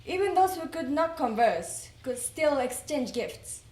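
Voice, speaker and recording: A woman performing in readers theater, reciting a letter earnestly and deliberately, with an occasional stumble. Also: sits high, big pitch swings, a little mellow. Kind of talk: dramatic group recitation of a letter. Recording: roomy echo, faint background noise.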